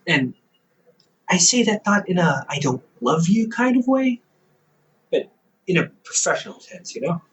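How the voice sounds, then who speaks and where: Audio roleplay: male actor as a young adult older brother and company therapist, teasing, quick to laugh, very lively pitch. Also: full character voice, poor audio.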